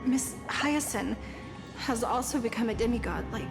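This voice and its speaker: A woman voicing a humble, devoted townswoman in a video game, speaking with deliberate reverence. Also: on the high side, expressive. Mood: reverent, trusting. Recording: loud game music behind.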